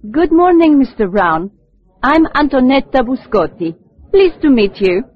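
A woman's voice: high voice, big pitch swings, a little slow, husky, no echo, soft background music. A woman voicing a polite receptionist welcoming guests in a scripted course dialogue. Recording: dull lo-fi dry tape recording.

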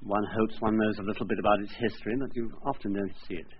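A man from Wales, a jockey, answering questions in an archive radio interview in a modest, polite, unhurried way. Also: lowish voice, steady tone. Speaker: jockey guest. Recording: dull old radio recording with faint hiss.

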